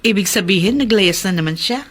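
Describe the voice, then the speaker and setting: A woman's voice: medium pitch, fairly quick, fairly bright tone, natural voice. A woman playing a worried, inquiring character with a Filipino accent in a radio drama, anxious in her questioning. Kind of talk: Philippine radio drama acting.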